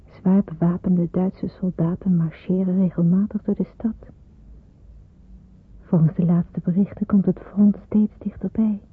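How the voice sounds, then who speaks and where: Hushed young wartime woman, tinny recording. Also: medium pitch, breathy edge, no echo. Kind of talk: radio play acting with reflective inner narration. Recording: tinny, noisy old radio play recording.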